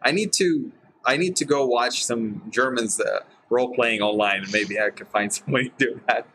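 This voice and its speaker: A man hosting a casual group chat about role-playing, chatty and quick to laugh. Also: mid-range voice, a bit bright, slight background noise, rough recording. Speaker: podcast host.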